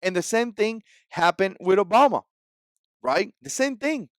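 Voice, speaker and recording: A man's voice: high-pitched, animated, unhurried, no echo, clean studio sound. A man in his forties with a Cuban Spanish accent, Spanish his first language, giving an emphatic, repetitive opinion vlog. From Cuba. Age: 40s. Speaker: vlogger.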